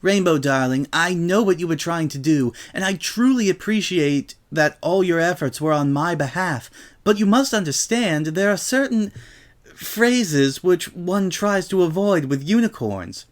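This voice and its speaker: A man's voice: sits high, expressive, measured pace, pitched above the performer's own voice. A man voicing a refined, diplomatic lady unicorn pony who speaks in careful, formal phrases in a story reading. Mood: sighing concern.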